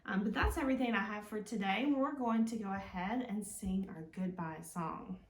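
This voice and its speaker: A woman leading a children's storytime as a librarian, reading aloud expressively and talking gently and simply to young listeners. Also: mid-range voice, normal speed. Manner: gentle, simple, encouraging talk aimed at small children. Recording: roomy, echoing indoor sound.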